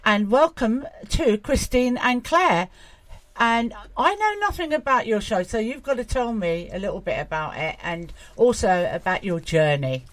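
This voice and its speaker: A woman presents a casual interview show. Her voice sits a little high and swings animatedly up and down. The sound is dry, with very little room in it. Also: lively intonation, normal speed, dry recording. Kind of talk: casual welcoming interview chat.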